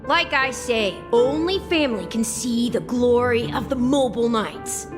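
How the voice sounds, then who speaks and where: A bossy, boastful female guard from a video game, played by a woman, speaking high and unhurried with theatrical pride. Long reverb trails her voice, and music plays behind. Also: lightly acted. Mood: proud, boastful. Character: haughty female guard boasting of fantasy power armor.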